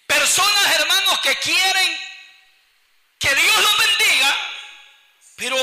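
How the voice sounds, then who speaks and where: A man's voice: very high voice, sharp tone, big-room sound, rough recording. A man from El Salvador with a Salvadoran Spanish accent, preaching a sermon emphatically and often breaking in to interject. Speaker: pastor.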